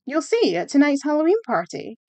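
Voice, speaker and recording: A woman's voice: high voice, wildly swooping pitch, a little fast, mellow. A woman voicing a poised, confident, friendly teenage schoolgirl in a comic fandub.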